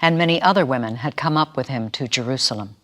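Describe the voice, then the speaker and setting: A narrator giving an expressive, dramatic reading of a scripture story. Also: mid-range voice, quick pace, lightly acted. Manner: expressive, acts out quoted speakers.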